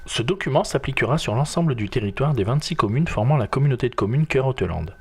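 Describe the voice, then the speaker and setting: A man's voice: medium pitch, lively intonation, fast, darkish tone, slightly rough. A man reading a scripted institutional narration in a formal, fluent, presentational way.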